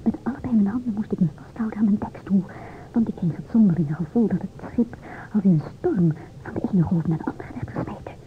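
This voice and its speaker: An actress playing a distressed, complaining woman in an old radio play, in a breathy whisper. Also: mid-range voice, fairly quick, lightly acted. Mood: miserable. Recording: tinny, noisy old radio recording.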